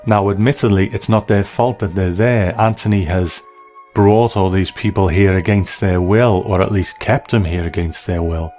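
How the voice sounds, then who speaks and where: Low-voiced man giving analytical commentary over music. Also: a little mellow. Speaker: narrator and film critic. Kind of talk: scripted video essay commentary.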